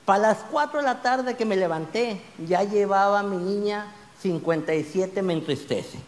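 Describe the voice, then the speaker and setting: Man, stand-up comedian, high pitch, animated and crude, reverberant club stage. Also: normal speed, a little hiss or hum. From Mexico. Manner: animated, gesturing broadly, crude slang, rising to shouts on punchlines. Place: small club stage with audience. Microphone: handheld mic.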